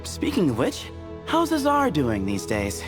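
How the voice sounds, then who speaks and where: A man's voice: on the high side, huge pitch swings, unhurried, over music. A man playing a laid-back, dry and weary young man in a video game. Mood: dry, unimpressed. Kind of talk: video game character acting.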